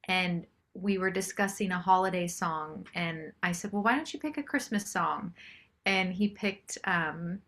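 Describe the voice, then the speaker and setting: A woman's voice: on the low side, measured pace, a bit bright. A woman chatting casually as a singer-songwriter guest in an interview.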